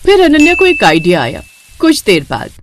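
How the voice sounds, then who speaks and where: A woman's voice: on the high side, wildly swooping pitch, moderate pace, noisy background. A woman reading scripted narration for a moral cartoon story.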